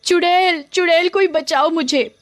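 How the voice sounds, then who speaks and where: A woman's voice: very high-pitched, measured pace, a bit bright, pitched above the performer's own voice. A woman voicing a self-absorbed, easily frightened young wife in a dramatic, whining way for a cartoon story. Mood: terrified.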